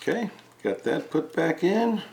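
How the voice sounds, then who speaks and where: A man's voice: a little high, very animated, creaky, darkish tone. Echoey man talking through a hands-on repair. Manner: halting, thinking aloud while working with hands. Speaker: DIY repair video host. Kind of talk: casual hands-on repair walkthrough. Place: indoors at a home kitchen countertop. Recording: echoey hard-surfaced room.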